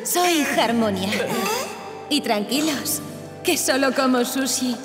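A woman voicing a gentle, polite cartoon mermaid. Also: high voice, animated, takes it slowly. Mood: polite, reassuring. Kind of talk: animated cartoon dub acting. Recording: echoey hall, loud music behind.

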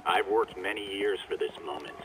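An actor playing a menacing, vengeful villain, an anonymous caller speaking with cold, deliberate threat in a video game. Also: male voice, low voice, far from the mic, a little hiss or hum, natural voice, rough recording.

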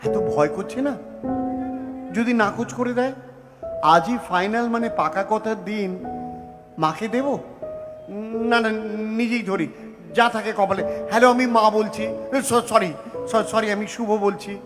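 An actor in a Bengali audio play playing a bumbling, eager-to-please bachelor suitor, hesitant, flustered and stammering, with a Bengali accent. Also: male voice, high-pitched, takes it slowly, pauses often. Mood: anxious. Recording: long hall reverb with faint music.

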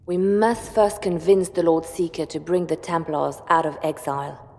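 An actress playing a shrewd, composed spymaster advisor in a video game, speaking in a measured, firm and persuasive way. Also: female voice, lowish voice, fairly even intonation, darkish tone, in a large hall, lightly acted. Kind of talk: dramatic video game dialogue.

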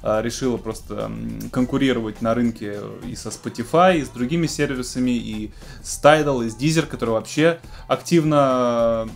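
A man's voice: mid-range voice, moderate pace, vocal fry. A man in his thirties presenting music technology news in a casual, semi-scripted style from a home studio. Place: small home studio room with keyboards.

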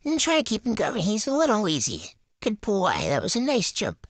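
A woman's voice: mid-range voice, wildly swooping pitch, normal speed, dry recording. A young adult woman giving casual, chatty play-by-play gameplay commentary in short phrases, like a vlogger. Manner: chatty, narrating each move in short phrases. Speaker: gaming vlogger.

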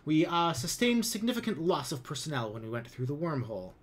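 A man voicing a smug, pompous male military commander who declaims loudly and grandly. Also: fairly high pitch, sing-song, a little fast, voice pushed up. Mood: grandiose.

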